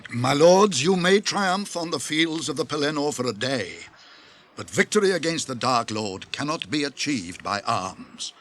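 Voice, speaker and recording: Wise old wizard in a radio play, grave measured voice, a bit high. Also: male voice, expressive, a bit bright. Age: older. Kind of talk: 1980s radio drama acting.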